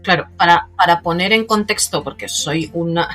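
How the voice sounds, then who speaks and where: Host in her 40s, lowish voice, chatty with fillers, faint music behind. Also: normal speed. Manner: talkative, many fillers, lively asides. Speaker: podcast host. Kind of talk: casual interview conversation.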